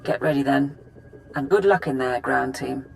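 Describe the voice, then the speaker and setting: A woman playing a brisk, tense mission support operative in a video game, speaking in clipped phrases. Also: rumbling low, vocal fry, darkish tone, slight background noise, rough recording. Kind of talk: video game cinematic acting.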